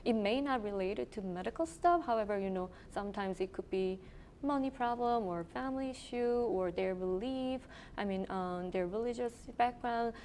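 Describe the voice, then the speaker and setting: A woman from South Korea in her thirties speaks with a Korean accent at a middle pitch. She answers slowly and thoughtfully, pausing on ums and you-knows. A faint hiss of background noise sits under her voice. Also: a little mellow. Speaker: internal medicine resident. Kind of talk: interview answers.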